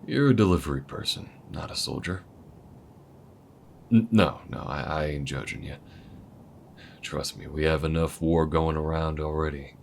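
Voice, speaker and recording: A man voicing a calm male alien gunslinger in an audio roleplay, speaking to the listener in a slow, folksy drawl with a patient, protective manner. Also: extremely low pitch, gravelly, a little hiss or hum. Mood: patient instruction. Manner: patient, steady instructing.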